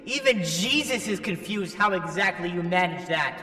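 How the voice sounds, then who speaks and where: A man acts out a brawny holy emissary in a fan fiction story. He scolds with crude contempt, loud and high-pitched, over faint music. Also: lively intonation, normal speed, reverberant, long reverb tail. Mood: scolding, exasperated. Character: buff male angel, holy emissary, fed-up and crude. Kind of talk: fan fiction character reading.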